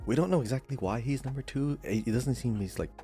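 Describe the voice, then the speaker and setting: A man's voice: medium pitch, fast talker, warm tone. A man co-hosting a podcast, chatting casually and explaining video game lore. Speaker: podcast co-host. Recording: music playing behind.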